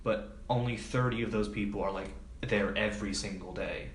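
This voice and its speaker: A man's voice: on the low side, fairly even intonation, normal speed. A man speaking as an interview guest in a casual, informal, hesitant way, with lots of filler words. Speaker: podcast guest, film production assistant. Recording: echoey room, background noise.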